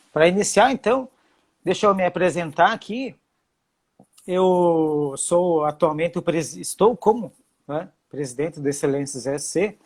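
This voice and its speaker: A middle-aged man with a Santa Catarina Brazilian Portuguese accent hosts a live interview over a video call. His voice sits on the higher side, and his friendly delivery is full of fillers and small hesitations. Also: darkish tone. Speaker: host.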